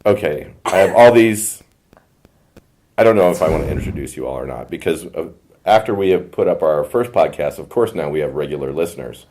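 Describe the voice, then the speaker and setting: A man with a big booming voice hosting a casual podcast chat, playful and quick to laugh. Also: animated, a little mellow, a little hiss or hum, rough recording. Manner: laughs often, restarts, playful. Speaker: podcast host, conference and curriculum manager.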